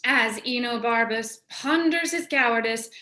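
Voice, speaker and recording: A woman's voice: a little high, a little slow, sharp tone. A woman giving scripted, dramatic narration for a radio play, recorded in a roomy space. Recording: roomy, echoey sound.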